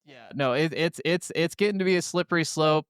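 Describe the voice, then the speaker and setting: Clear-voiced man chatting on a podcast. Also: a little high, brisk, no room sound, clean studio sound. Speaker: podcast co-host. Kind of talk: casual podcast chat.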